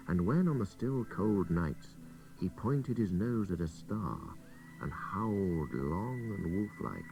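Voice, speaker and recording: A deep-voiced man narrates a literary story with a wide, animated range of pitch. His delivery is measured and dramatic. It comes from an old taped radio broadcast, slightly distant with faint hiss. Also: vocal fry. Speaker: story reader.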